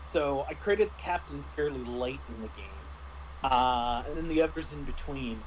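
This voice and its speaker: A man in a casual discussion as a game master, speaking haltingly and often restarting his phrases. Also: mid-range voice, a little hiss or hum, low-quality recording. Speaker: game master reviewing a tabletop RPG.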